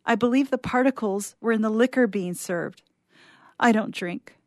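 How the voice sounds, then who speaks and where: A woman playing an uneasy doctor who recounts events in the first person, reading a suspense story in a measured, solemn way. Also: on the high side, dry recording, clean studio sound, pitched above the performer's own voice, close to an everyday voice. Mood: tearful, earnest. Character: uneasy, secretly sinister doctor testifying as sole survivor.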